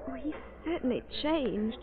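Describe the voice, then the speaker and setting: An actress playing a curious, lively society woman with an eager, chatty manner in a 1940s-style radio play. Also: high-pitched, normal speed, phone-quality sound, crowd noise. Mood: chatty, playful. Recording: thin old radio recording.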